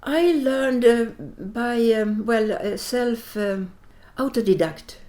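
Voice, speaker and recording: An older woman from Sweden, a native Latvian speaker, answering interview questions reflectively in halting English as she searches for words. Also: on the high side, mellow. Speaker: interview guest.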